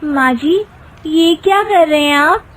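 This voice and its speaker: A woman's voice: high voice, takes it slowly, fairly bright tone, pitched above the performer's own voice, low-quality recording. A woman playing an exasperated young daughter-in-law, politely scolding and wry, in a comic family story. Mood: alarmed. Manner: pleading, wry.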